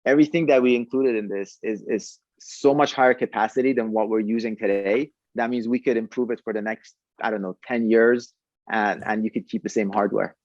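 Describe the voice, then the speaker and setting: A man's voice: on the low side, fairly even intonation, fairly quick, darkish tone, no room sound, highs cut off. A man talking conversationally as a podcast guest, explaining a product and often repeating words as he goes. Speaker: startup founder, podcast guest.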